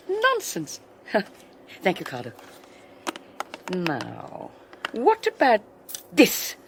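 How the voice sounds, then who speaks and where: A woman's voice: mid-range voice, huge pitch swings, very slow, noisy background, exaggerated voice. An actress playing a domineering wealthy wife who teases and controls her husband, silky and condescending with small laughs, in a radio drama. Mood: gloating. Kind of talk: 1980s radio drama acting.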